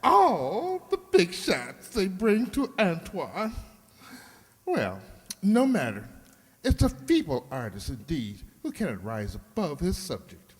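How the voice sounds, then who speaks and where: An actor playing an effusive, flattering beauty-salon stylist in a flowery, affected manner, in a comic radio play. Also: male voice, high voice, slow pace, husky, full character voice. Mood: theatrical, flattering. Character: gushing, theatrical male hairdresser, flattering and two-faced. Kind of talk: comic old-time radio sitcom acting. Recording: echoey hall with long reverb.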